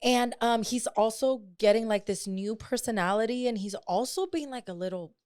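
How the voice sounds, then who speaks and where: A woman's voice: on the high side, normal speed, darkish tone, dry recording, clean studio sound. A woman, chatting casually as a podcast co-host, candid and slangy, slipping into Spanish and swearing freely. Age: late 20s. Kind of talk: casual sibling podcast chat.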